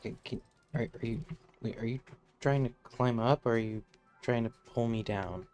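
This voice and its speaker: A man's voice: medium pitch, moderate pace, darkish tone. A man giving casual, rambling live commentary as he plays a game on stream. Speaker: livestreamer playing a game. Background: faint game music.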